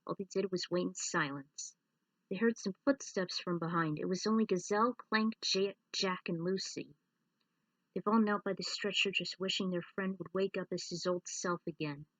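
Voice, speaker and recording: A woman's voice: fairly low pitch, normal speed, a bit bright, dry recording, far from the mic, rough recording. A woman reading a scripted story as its narrator, stumbling over the odd word. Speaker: narrator reading own fan fiction.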